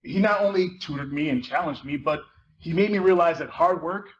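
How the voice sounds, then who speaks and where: A man reads a first-person narrative earnestly, pausing between phrases. His voice is fairly high for a male. The live recording sounds muffled, with light noise. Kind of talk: dramatic first-person reading from a book.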